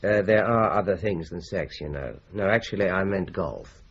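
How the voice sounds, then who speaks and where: A man's voice: deep voice, moderate pace, a little hiss or hum. An actor playing a polite but persistent male police inspector questioning people in a radio drama. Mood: probing. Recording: dull lo-fi broadcast recording.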